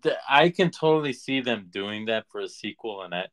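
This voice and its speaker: Lowish voiced guy cohosting a movie podcast, chill, kinda halting, casual. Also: lively intonation, a bit bright. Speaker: podcast co-host.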